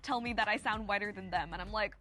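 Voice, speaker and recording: A woman's voice: medium pitch, quick pace, a bit bright, faint music behind. A Cantonese-speaking woman from Hong Kong with an American-sounding accent, chatting on a game show.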